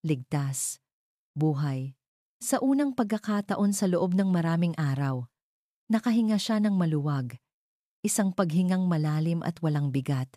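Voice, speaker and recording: A woman's voice: on the low side, mellow, no room sound, studio quality. A woman giving dramatic scripted narration of a story.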